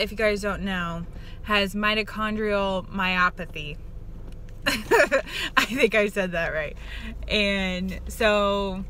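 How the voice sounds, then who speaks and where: Bright, chatty young woman. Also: medium pitch. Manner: informal, chatty. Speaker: vlogger. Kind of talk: casual vlog chat to camera. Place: inside a car. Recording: faint car and ambient noise.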